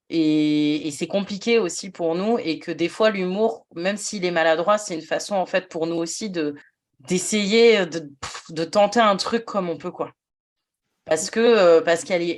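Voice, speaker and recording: A woman who was once a nurse, hosting a podcast conversation and talking things through in a reflective way with frequent restarts. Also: sits low. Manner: reflective, thinks aloud with many restarts. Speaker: podcast host, former nurse.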